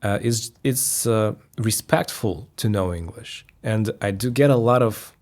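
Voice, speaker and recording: A man from Russia whose first language is Russian, chatting as a guest, pausing to search for words. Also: on the low side, darkish tone. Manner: thoughtful, searches for words, laughs lightly. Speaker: guest, video creator. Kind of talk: casual conversation.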